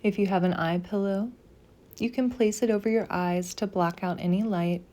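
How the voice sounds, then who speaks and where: A woman leading a guided meditation as a scripted practice, speaking softly and gently in deliberate phrases with pauses. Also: medium pitch, fairly even intonation.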